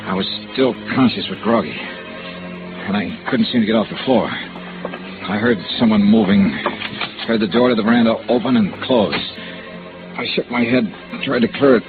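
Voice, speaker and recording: An American actor playing a cool, wry insurance investigator in 1950s radio noir, clipped and sardonic. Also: male voice, on the low side, a little slow, lightly acted. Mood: tense narration. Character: hard-boiled male insurance investigator, probing, cool, wry. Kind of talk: 1950s radio noir acting, with first-person narration. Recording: dull vintage radio recording, music behind.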